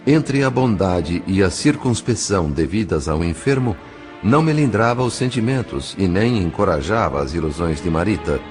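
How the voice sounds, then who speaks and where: A man reading scripted narration for an audio novel in a formal, literary, measured manner. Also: deep voice, lively intonation, darkish tone. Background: faint music.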